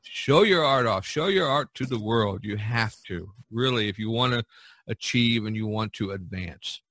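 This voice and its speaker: Bright-voiced man chatting on a podcast. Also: lowish voice, animated, moderate pace, dry recording. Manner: rambling, folksy, frequent "you know". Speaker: podcast host and painter. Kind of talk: casual podcast chat giving advice to artists. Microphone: video call recording.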